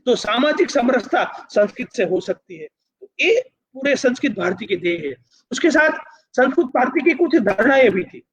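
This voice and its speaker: A man with a notably high voice and a Gujarati accent delivers a public lecture. He explains and persuades emphatically, building parallel repeated phrases and slipping in English words. The recording is dull and lo-fi. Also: sing-song, normal speed, fairly bright tone, slightly rough. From Gujarat, India. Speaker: university vice-chancellor, speaker.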